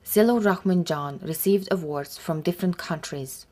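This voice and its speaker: A woman's voice: on the low side, moderate pace, dark tone. A woman narrating a documentary in a plain, scripted reading.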